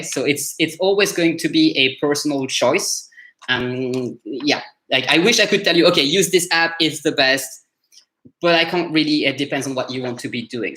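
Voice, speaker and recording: A man's voice: mid-range voice, fairly bright tone. A man with a French accent, coaching learners in a casual live session, talking loosely with many fillers and restarts. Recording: roomy echo.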